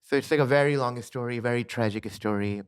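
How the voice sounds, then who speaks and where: A man's voice: mid-range voice, brisk, clear, resonant tone, dry recording, studio quality. Earnest young man with Pakistani accent. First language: Urdu. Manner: earnest, repeats affirmations like "yeah, yeah". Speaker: podcast guest. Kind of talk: casual interview chat.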